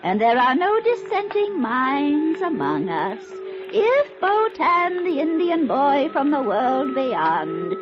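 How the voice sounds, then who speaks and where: An actress playing a grandiose middle-aged spirit medium, intoning in a drawn-out trance manner in an old radio drama. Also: female voice, high-pitched, heavily acted. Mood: grand, welcoming. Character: theatrical middle-aged woman spirit medium holding a séance. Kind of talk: 1950s radio drama acting. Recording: dull vintage radio recording, faint music.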